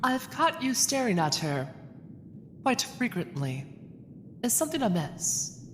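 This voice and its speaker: A woman's voice: a little high, very animated, unhurried. A woman playing a loyal, candid retainer in an audio drama, formal and dryly teasing. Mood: composed, teasing. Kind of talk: fan audio drama acting. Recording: reverberant hall, faint noise.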